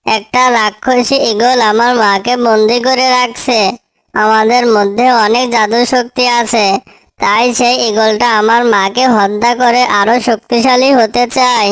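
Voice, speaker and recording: A woman's voice: fairly high pitch, fairly even intonation, a little slow, lightly acted. A woman voicing a sorrowful magical young blue bird in a cartoon story, earnest and plaintive. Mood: sad, explaining.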